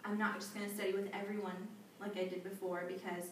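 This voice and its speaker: A young woman in an interview, chatty and animated, with plenty of casual fillers and easy laughter. Her voice sits at a medium pitch. The mic is some distance off, so the sound rings around a large echoey hall with a faint hiss underneath. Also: fast talker. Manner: chatty, animated, laughs often, many "you know" and "like" fillers. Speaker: interviewee, volunteer back from abroad. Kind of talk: conversational interview answers.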